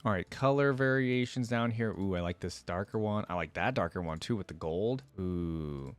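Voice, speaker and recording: A man's voice: low voice, lively intonation, moderate pace, smooth, no room sound. A man giving casual, unscripted reaction commentary as a video host, thinking aloud informally with frequent exclamations.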